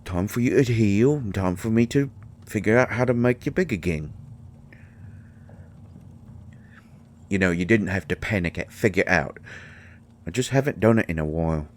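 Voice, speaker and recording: A man playing a tiny, gruff but shy and caring potion-brewing healer in an audio drama, with a mottled British accent and a halting, stammering delivery. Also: on the low side, a little hiss or hum, natural voice. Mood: reassuring, flustered. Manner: halting, stammering, mumbling asides. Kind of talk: intimate roleplay audio drama monologue.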